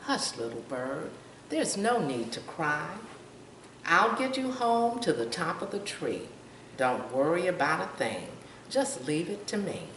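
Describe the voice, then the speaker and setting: A woman's voice: deep voice, very animated, measured pace, fairly bright tone, exaggerated voice. A woman voicing a tiny, kind male frog from a storybook, brave, earnest and reassuring. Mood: soothing. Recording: roomy, distant mic, faint noise.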